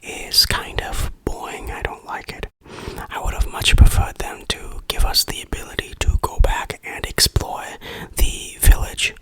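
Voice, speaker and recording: A person whispering an informal, opinionated ASMR game review in a breathy, unvoiced voice. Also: a bit bright, low-quality recording.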